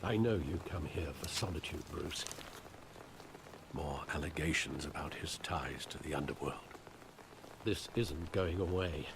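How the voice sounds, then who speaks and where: An actor playing a loyal, weary older butler in a video game, speaking in a measured, somber way. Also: male voice, a bass voice, creaky, heavily acted. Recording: game audio with rain noise.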